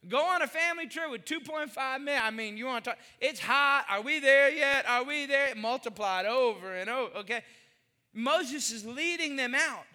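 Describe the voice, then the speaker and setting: A man's voice: very high-pitched, animated, moderate pace, pushed and bright, in a large hall. A man in his forties preaching a sermon, emphatic, repeating phrases and putting questions to the congregation. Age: 40s. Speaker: pastor.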